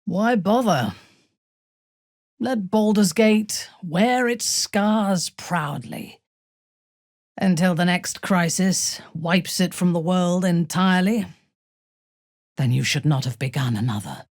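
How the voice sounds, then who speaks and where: A woman playing a haughty, menacing dark elf warrior commander in a video game, with a husky voice and a cold, imperious, deliberate delivery. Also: fairly low pitch, dry recording, clean studio sound. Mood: scornful. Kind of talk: dramatic video game voice acting.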